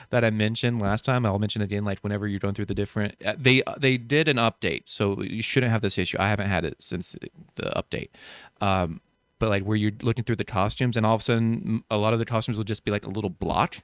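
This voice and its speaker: A podcast co-host with a lowish voice and a clean, smooth tone. He rambles and jokes in relaxed chat, full of ums and likes. The sound is dry and close to the mic. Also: no echo. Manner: rambling, joking, lots of fillers. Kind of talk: casual chat.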